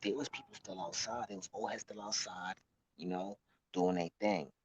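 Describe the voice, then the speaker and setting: A man of about thirty giving casual, slangy street commentary in a halting, repetitive way, recorded outdoors. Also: lowish voice, very animated, creaky, slightly rough, no room sound. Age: late 20s, about thirty. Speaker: vlog host. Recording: muffled, noisy outdoor recording with clicks.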